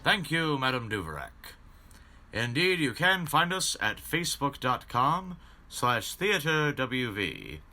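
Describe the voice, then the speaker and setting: A man's voice: mid-range voice, very animated, a little slow, over music. A man hosting a radio drama broadcast with formal, scripted showman-like announcements. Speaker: announcer, host.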